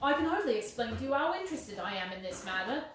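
A woman's voice: high voice, expressive, moderate pace. A woman voicing a nervous, rat-faced little man who whines and quavers, recorded from a distance in a roomy space with faint hiss. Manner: quavering, whining.

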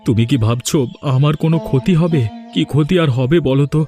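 A man's voice: a little high, quick pace, dark tone, lightly acted. A man voicing a despairing, poor married village farmer in a plaintive, lamenting cartoon style, with music behind him. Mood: weary, gloomy. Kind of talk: Bengali cartoon voice acting.

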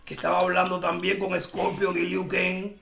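Deadpan, bossy immigration official played by a young woman for a phone prank; gravelly fry, roomy noisy recording. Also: fairly low pitch, moderate pace. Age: young adult. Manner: deadpan, officious, spelling things out. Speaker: prank caller. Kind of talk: live prank phone call. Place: small indoor room at a table. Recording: echoey room, clear noise, clicks.

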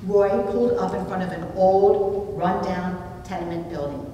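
A woman's voice: fairly even intonation, moderate pace, mellow. Echoey, distant woman preaching. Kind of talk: sermon with storytelling, read from notes. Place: small indoor church room, at a pulpit. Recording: distant mic, very echoey room, rumbly noise.

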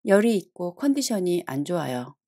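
A woman reads lesson prompts at a very quick clip, her pitch moving lively up and down. Her voice is mellow and soft-edged, and the delivery stays brisk and even. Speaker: Korean prompt reader.

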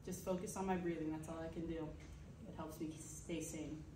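A young woman softball player answering in a calm, low-key way. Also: normal speed, background noise. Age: young adult. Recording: roomy, distant phone recording.

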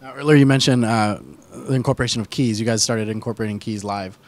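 A man's voice: lowish voice, steady tone, quick pace. A man interviewing band members, asking casual questions full of fillers, holding a handheld mic on a couch in an indoor room.

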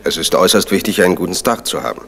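An actor playing a genial, ageing crime writer and estate owner with urbane, courteous manners in a German radio play. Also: male voice, sits low, racing, fairly bright tone. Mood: confiding, wistful. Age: older. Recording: noisy vintage radio play recording.

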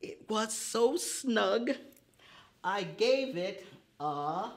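A middle-aged man hosting a preschool storytime, teaching and reading in a theatrical, drawn-out way with gasps and dramatic pauses. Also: sits high, animated. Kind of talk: children's storytime lesson with expressive poem reading. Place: indoor set with painted forest backdrop.

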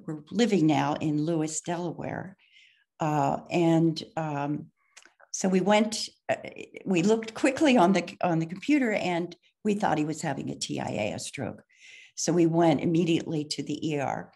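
A woman in her sixties with a low voice and a gravelly creak talks on a video call from home. She speaks haltingly, with many fillers. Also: a little mellow. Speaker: patient's wife and caregiver. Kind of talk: video call panel discussion. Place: home room, indoors.

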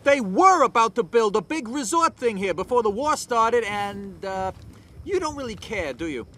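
An actor playing an excitable, cheeky young American soldier in a video game. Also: male voice, high-pitched, moderate pace, full character voice. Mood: cheeky chatter. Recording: music and engine noise behind voice.

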